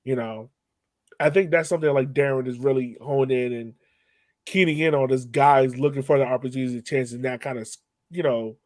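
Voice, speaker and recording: A man with a medium-pitched voice hosts a casual sports chat. The sound is dry and close to room-free, and he talks informally as he guides the conversation. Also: moderate pace, a little mellow, dry recording. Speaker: soccer podcast host.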